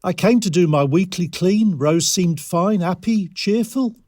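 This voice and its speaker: A mild, apologetic male cleaner played by an actor speaks in an audio drama. His voice is high for a man, and his delivery is polite and simple, with no room echo. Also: measured pace, darkish tone, clean studio sound. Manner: deferential, simple.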